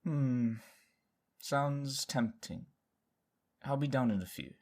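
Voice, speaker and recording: A very low, drawling flirty rogue. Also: female voice, lively intonation, darkish tone, heavily acted. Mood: dry, sly. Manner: slow, drawling, teasing. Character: sardonic, flirty, teasing rogue under a captain. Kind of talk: audio drama acting.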